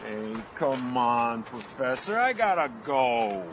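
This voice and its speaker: An actor playing a crude, whiny, foul-mouthed convict sidekick in a radio drama, with an American accent and slangy wisecracks. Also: male voice, mid-range voice, sing-song, takes it slowly, background noise. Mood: impatient.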